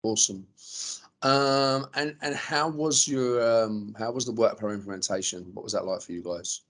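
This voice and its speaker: A man's voice: fairly low pitch, steady tone, moderate pace, a bit bright. A man hosting and presenting a webinar in a casual, informal manner.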